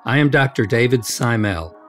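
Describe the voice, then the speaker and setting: A man's voice: mid-range voice, expressive, moderate pace, faint music behind. A man hosting a medical podcast, putting formal questions to a guest. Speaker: podcast host, physician.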